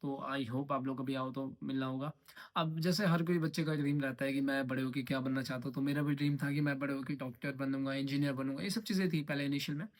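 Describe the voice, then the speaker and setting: Fast-talking young man. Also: medium pitch. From Uttarakhand, India. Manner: rambling, mixes English phrases, repeats himself. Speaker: trading vlogger. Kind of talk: casual vlog monologue.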